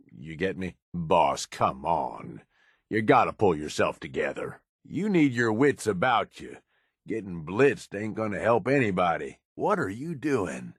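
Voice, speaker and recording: Gruff, husky raider henchman. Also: on the low side, no echo, clean studio sound, exaggerated voice. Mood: scolding, sarcastic. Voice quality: husky, rough. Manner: folksy slangy drawl, sardonic, swearing. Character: gruff cynical ruthless male raider henchman in a post-apocalyptic wasteland. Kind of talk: video game voice acting.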